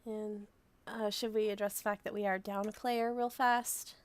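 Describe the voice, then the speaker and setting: A woman playing a tabletop game on a live stream, her voice hoarse from a cold, chatting in an apologetic, casual way. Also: mid-range voice, little pitch movement, normal speed, no room sound.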